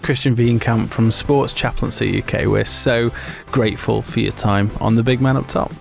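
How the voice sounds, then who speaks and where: A man's voice: medium pitch, steady tone, normal speed, vocal fry, music in the background. A man hosting a podcast, talking conversationally about faith in an earnest, explanatory way. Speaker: podcast host. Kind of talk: conversational talk on faith and football.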